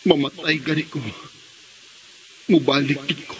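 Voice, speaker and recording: A man's voice: on the high side, fairly even intonation, unhurried, background noise, natural voice. A man with a Visayan Filipino accent playing a brooding, devoted young man in a dramatic radio play. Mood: brooding, determined.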